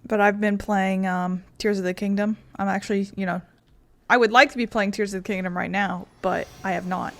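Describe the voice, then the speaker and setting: A woman's voice: mid-range voice, fairly even intonation, fairly quick, a bit bright. Woman gamer rambling casually between bits, lots of likes and ums. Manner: rambling, filler-heavy talk. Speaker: gaming video host. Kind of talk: casual chat while playing.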